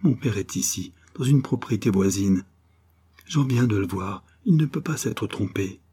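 A man's voice: on the low side, lively intonation, unhurried, mellow, breathy edge. Man reading as an anxious, tender young mother. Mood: distraught.

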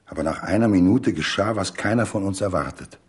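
A man narrating a literary story for radio in a deliberate, suspenseful, dramatic way. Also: deep voice, breathy edge.

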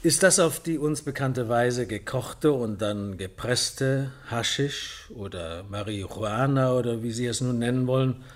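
An actor playing an impatient, sceptical police inspector who questions probingly in a radio drama. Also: male voice, medium pitch, measured pace, hardly any pauses, lightly acted.

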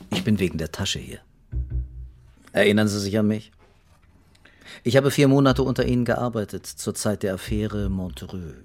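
An actor playing a calm, relentless police investigator in a radio crime drama, ironic and deliberate in his accusations. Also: lowish voice. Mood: wry, controlled.

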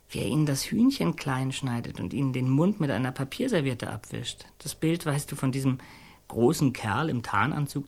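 An earnest storyteller in a radio play, speaking at a middling pitch in halting, colloquial phrases. The voice searches for words and circles back on them with naive, awestruck conviction. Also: darkish tone, husky. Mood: moved.

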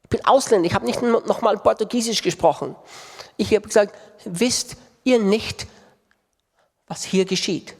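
A man's voice: sits high, moderate pace, creaky, long pauses, darkish tone. A man preaching a sermon with impassioned delivery and emphatic repetition. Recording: long reverb of a large hall.

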